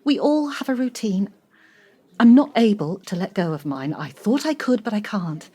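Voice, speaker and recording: A woman's voice: mid-range voice, lively intonation, normal speed, warm tone, slightly rough. A middle-aged woman, controlling and haughty. Her intonation moves widely while her phrasing stays clipped and articulate, in audio drama style. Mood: defensive, reasoning.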